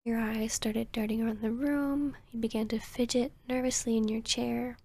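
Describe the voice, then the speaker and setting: Casting: woman playing a soothing vampire therapist for ASMR roleplay, gentle and measured, breathy with a creaky edge. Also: fairly high pitch, fairly even intonation. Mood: probing, gentle. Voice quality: breathy, soft. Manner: gentle, measured, professional, often near-whispering. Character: female vampire posing as a calm, soothing therapist. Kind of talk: ASMR roleplay, soft-spoken to the listener.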